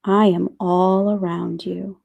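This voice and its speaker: Woman as slow, soothing voice of God. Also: on the low side, little pitch movement, gravelly, darkish tone, full character voice. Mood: reassuring. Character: calm, reassuring, all-present voice of God.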